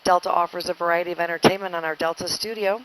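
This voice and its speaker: A woman's voice: fairly low pitch, steady tone, quick pace, a bit bright. A woman flight attendant giving an inflight announcement over the cabin PA of an airliner. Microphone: cabin PA handset. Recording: noisy airplane cabin PA.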